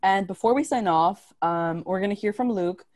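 Mellow, encouraging young woman moderating. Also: mid-range voice, normal speed, dry recording, studio quality. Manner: encouraging, affirming. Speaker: panel moderator. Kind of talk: hosting an online panel. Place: indoors on a video call. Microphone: video call microphone.

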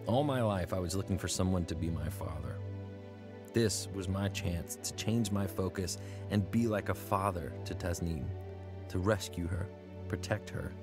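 An earnest young man narrates his story in a scripted radio drama. His voice sits on the low side and sounds reflective. Music plays clearly under his words. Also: normal speed. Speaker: narrator and lead character. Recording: studio drama over music. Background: music bed.

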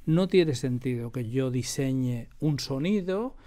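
A man's voice: mid-range voice, animated, slow pace, mellow. A man with an accent from Spain talking casually as an interview guest, opinionated, emphatic and colloquial. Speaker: audio drama director, interview guest. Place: radio drama studio.

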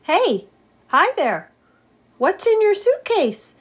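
A woman voicing a small, curious, excitable bird in a storybook, full of questions and exclamations. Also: extremely high pitch, slow, pitched above the performer's own voice, heavily acted. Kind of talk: storybook read-aloud character voice.

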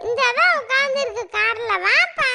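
A woman's voice: very high-pitched, expressive, moderate pace. A woman voicing a young boy in a cartoon who insistently pleads for his mother. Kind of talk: cartoon voice acting. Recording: music behind the voice.

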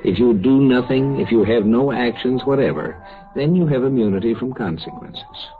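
A man hosting a vintage radio drama, giving measured, explanatory closing commentary. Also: medium pitch, expressive. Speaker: host. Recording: muffled old radio recording with music.

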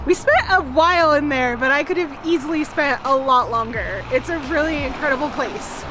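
A woman's voice: high voice, moderate pace, noisy background. A woman in her thirties chatting enthusiastically to camera as a food vlogger, recorded close in a small restaurant booth. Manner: chatty, enthusiastic, lots of fillers. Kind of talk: casual chat to camera. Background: background music.

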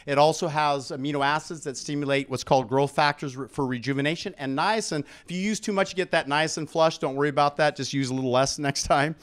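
A middle-aged man speaking as a presenter at an expo, pitching to a live audience in an animated way on a handheld microphone in a conference room. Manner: animated, engages audience with questions and prompts. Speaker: expo speaker and product promoter. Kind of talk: promotional seminar talk to a live audience.

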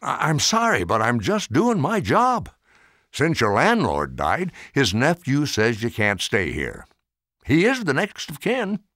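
A dutiful storybook police officer, acted by a man. He sounds reluctant and apologetic, explaining hesitantly with lively intonation in a dry recording. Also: mid-range voice, measured pace, no echo, exaggerated voice. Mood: sorry, resigned.

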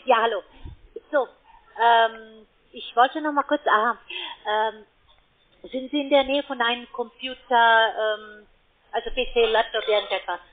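High-voiced female agent on the phone. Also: steady tone, a little slow. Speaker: call centre agent. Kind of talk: step-by-step phone instructions. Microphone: phone call. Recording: tinny narrowband phone line.

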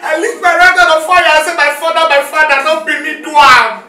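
A woman playing a young girl with a Nigerian Pidgin accent, loudly denying blame. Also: on the high side, steady tone, measured pace, distant mic, lightly acted. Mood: protesting. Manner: shouting, dramatic denial. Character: young girl accused, loud and protesting. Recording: echoey room, voice off-mic, music behind.

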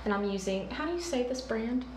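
A bubbly woman chats and teases herself while doing her makeup. Her voice is a touch high, the room is echoey, and a light hiss sits under it. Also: expressive, fairly quick, darkish tone. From Memphis, Tennessee. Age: 20s. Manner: bubbly, laughs often, self-teasing. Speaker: guest friend. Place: small indoor room, seated side by side. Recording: roomy indoor sound.

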